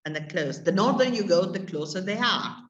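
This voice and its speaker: A woman's voice: medium pitch, expressive, a little fast, echoey room, lo-fi sound. A woman teaching English to adult learners, stopping often to search for words mid-sentence. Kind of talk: classroom explanation to adult learners.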